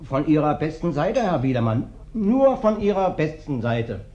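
An actor playing a sly, ingratiating vagrant guest in a German radio play, flattering and theatrical. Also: male voice, on the high side, normal speed. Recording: dull, roomy old radio recording.

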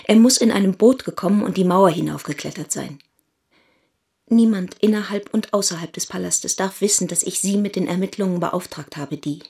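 Woman doing an imperious princess from old china, radio play, formal and commanding, bit anxious, medium pitch. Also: moderate pace, creaky, dark tone, close to an everyday voice. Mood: grave, urgent. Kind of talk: German radio play acting.

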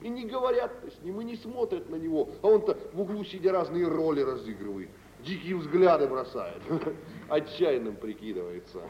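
Actor as lively, high-voiced young merchant, old radio. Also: male voice, moderate pace, natural voice. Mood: mocking, gossipy. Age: young adult. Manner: expressive, teasing storytelling. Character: genteel young merchant, shrewd, gossipy and amused. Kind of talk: 1940s Russian radio theatre acting. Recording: dull, echoey, hissy old radio recording.

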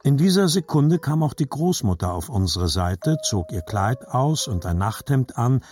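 A man's voice: medium pitch, very animated, normal speed, darkish tone, faint music behind, lightly acted. A man narrating scripted literary childhood memories as a grown grandson in an audio drama. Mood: reflective.

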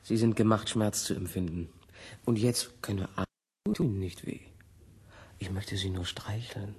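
Husky, provocative scientist. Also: male voice, fairly low pitch, steady tone, measured pace, a little mellow, exaggerated voice. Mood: probing, teasing. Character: passionate, provocative male scientist, challenging an artificial woman. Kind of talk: 1970s German radio drama acting.

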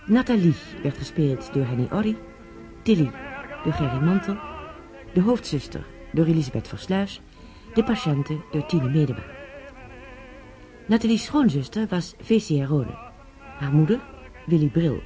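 A man's voice: fairly high pitch, expressive, normal speed, pauses often, warm tone. A man reading the closing credits of a radio drama as a scripted announcement. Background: music under the announcement.

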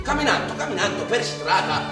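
High-pitched spirited Sicilian farm lad. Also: male voice, sing-song, brisk. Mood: wry. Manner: emphatic, folksy storytelling with dialect grammar. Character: spirited, hungry young Sicilian farm labourer telling his life. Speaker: actor narrating an autobiographical stage play. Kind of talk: theatrical storytelling monologue. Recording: distant echoey theatre stage, music behind.

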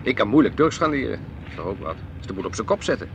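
An actor playing an easygoing but dismissive, evasive husband in a naturalistic radio play, with a Netherlands Dutch accent. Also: male voice, medium pitch, very animated, racing, close to an everyday voice. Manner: dismissive, conversational. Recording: noisy outdoor street ambience with traffic.

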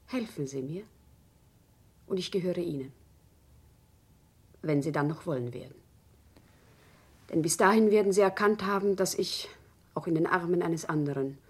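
An actress playing a humble, fervent commoner wife who pleads earnestly in formal diction, in a classic German radio play. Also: female voice, fairly low pitch, normal speed, pauses often, slightly rough. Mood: resigned.

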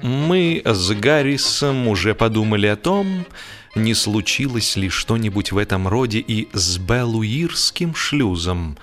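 A man narrating humorous literary fiction as a scripted audiobook, in an expressive, mock-earnest storytelling manner. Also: fairly low pitch, measured pace, over music.

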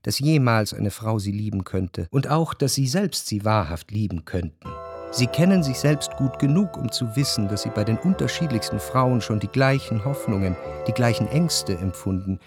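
An actor playing an introspective, wryly analytical narrator in a historical radio play, speaking in a measured, articulate, reflective way. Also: male voice, lowish voice, animated, over music. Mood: pensive.

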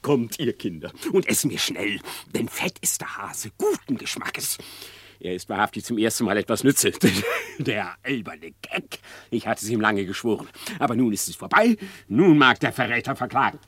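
An actor playing a sly, smug, murderous fox in classic radio drama, with an unctuous, theatrical delivery that savours each phrase. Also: male voice, fairly low pitch, very animated, moderate pace, fairly bright tone, breathy edge, no room sound, full character voice. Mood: gloating.

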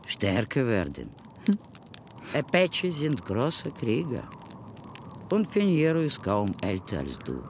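A solemn, protective Apache mentor in a radio play. He speaks slowly and soothingly in short phrases, with a very wide swing of pitch. Clear outdoor noise lies behind him. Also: heavily acted. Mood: consoling, urging. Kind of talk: radio drama acting.

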